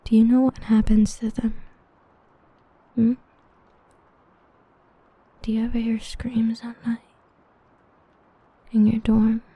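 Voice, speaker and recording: A young woman voicing an obsessive, protective half-demon villain in a hushed, intimate ASMR roleplay whisper. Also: a little high, monotone, husky, no room sound, low-quality recording. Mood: hushed menacing. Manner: hushed, intimate, slow and brooding.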